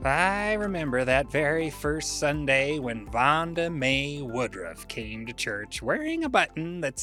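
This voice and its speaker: An elderly church lady played by a man reminisces in a comic mock oral history. She is prim, folksy and disapproving, with a very animated, swooping pitch. The sound is dry, with no room around it. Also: dry recording. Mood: chatty, reminiscing. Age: older.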